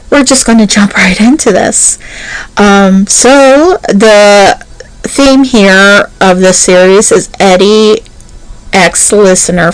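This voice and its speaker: A woman's voice: mid-range voice, dry recording, poor audio. A woman voice actor and channel host chatting casually and nervously, rambling with many fillers before her audio roleplay.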